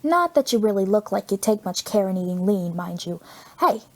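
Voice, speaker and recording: A woman's voice: medium pitch, expressive, normal speed. Female narrator as teenage boy hero, wisecracking, breezy, sarcastic, chatty, audiobook dialogue. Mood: playful taunting. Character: wisecracking fifteen-year-old boy superhero, cheeky and chatty.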